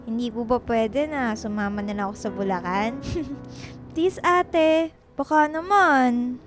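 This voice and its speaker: A woman's voice: fairly high pitch, slow, music in the background. A woman voicing a playful, sweet schoolgirl younger sister in a radio drama, cute and respectful to her elders. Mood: pleading.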